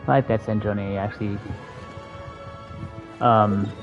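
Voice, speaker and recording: Very low-voiced woman streamer, slow. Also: fairly even intonation. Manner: slangy, reactive, searching aloud for words. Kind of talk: casual livestream commentary over gameplay. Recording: dull lo-fi mic, game music behind. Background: game music.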